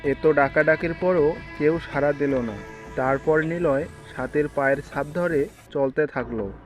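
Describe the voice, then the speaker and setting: Slightly high-voiced male story narrator. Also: measured pace. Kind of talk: scripted cartoon story narration. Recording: music behind the voice.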